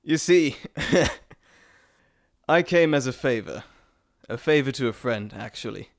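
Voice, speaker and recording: A man's voice: mid-range voice, animated, slow pace, vocal fry. A man playing a playful, cheeky messenger god in a dramatic roleplay monologue, chatty and theatrical, talking straight to the listener. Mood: confiding. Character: playful, teasing male Greek messenger god, cheeky trickster.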